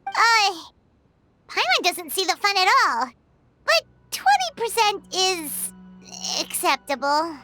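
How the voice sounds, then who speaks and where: A woman voicing a tiny, cheeky, excitable childlike fairy companion in a video game, with a squeaky voice and exclamatory delivery. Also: slow pace, faint music behind, exaggerated voice. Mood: indignant. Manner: refers to self in third person, exclamatory.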